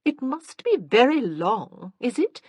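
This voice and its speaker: A woman voicing a critical, fastidious aristocratic aunt in an audiobook. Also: on the high side, big pitch swings, a little mellow, slightly rough, dry recording, pitched above the performer's own voice, full character voice. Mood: disapproving. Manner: disapproving.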